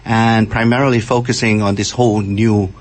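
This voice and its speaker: An older man from Malaysia, a scientist and interview guest, explaining his points with halting starts. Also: lowish voice, flat delivery. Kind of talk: radio interview answers.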